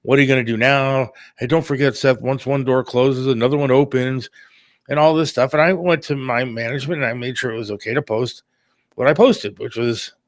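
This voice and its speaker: A man's voice: mid-range voice, steady tone, a little fast, no echo, studio quality. A middle-aged man talking candidly and casually on a talk show, plain-spoken and a little defensive. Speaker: radio producer and talk show host.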